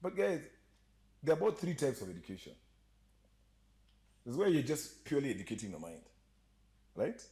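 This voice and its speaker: A man's voice: medium pitch, moderate pace, long pauses. Guy w a slight ugandan accent, jokey storyteller, voice jumps all over. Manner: animated, joking, laughs often. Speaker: podcast guest. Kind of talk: storytelling interview chat.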